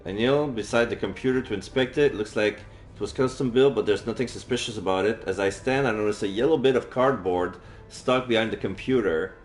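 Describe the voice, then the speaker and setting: Man, game streamer, low voice, reading story text aloud, roomy echo. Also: fairly quick, hardly any pauses. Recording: echoey room with faint game music. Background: faint game music.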